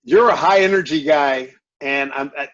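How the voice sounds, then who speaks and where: A man co-hosting an interview, asking questions with quick, high energy. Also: fairly bright tone, dull audio. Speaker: co-host, sports announcer.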